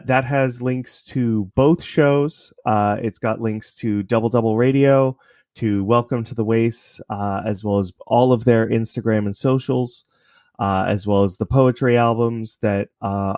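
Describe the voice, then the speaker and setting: Guy being interviewed, lowish voice, dry close sound, casual and a bit halting. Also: darkish tone, dry recording. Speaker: interview guest, podcast creator.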